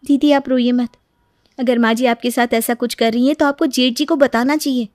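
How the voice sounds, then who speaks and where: A woman's voice: fairly high pitch, steady tone, brisk, darkish tone, dry recording, studio quality, pitched above the performer's own voice, natural voice. A woman voicing a kind, respectful but bold young sister-in-law in a story, concerned and indignant. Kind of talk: Hindi moral story voice acting.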